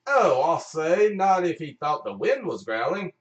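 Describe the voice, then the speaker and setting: A man's voice: on the high side, big pitch swings, measured pace, dull audio, voice pushed up. A man voicing a fussy chimp with an English accent in an amateur comic radio play. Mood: argumentative. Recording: roomy, mic at a distance.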